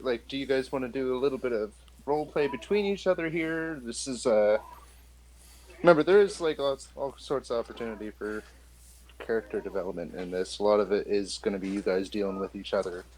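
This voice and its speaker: A man's voice: mid-range voice, moderate pace, a little mellow, a little hiss or hum, rough recording. A man acting as game master, talking players through a tabletop role-playing game.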